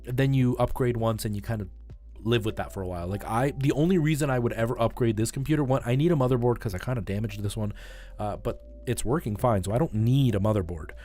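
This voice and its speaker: A man's voice: mid-range voice, fast talker, mellow. A man streaming, chatting casually with his viewers and explaining things in a conversational way, often checking in with them. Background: music.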